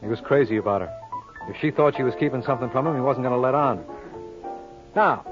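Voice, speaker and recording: A brash male lawyer detective from a vintage radio play , played by an actor. He needles with glib, sardonic cracks at a middling pitch. The old recording is dull, with faint music. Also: fast, close to an everyday voice. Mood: smug, explaining. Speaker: lead actor of the series. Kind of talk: 1950s radio detective drama acting.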